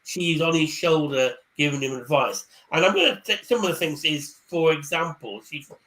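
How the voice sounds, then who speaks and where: A man on a video call, giving casual career-book advice, often stumbling over repeated words before rushing ahead. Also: on the high side, pushed and bright, rough recording. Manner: hesitant, repeats words, then speeds up. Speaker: career coach guest speaker. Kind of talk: casual chat on a live online panel.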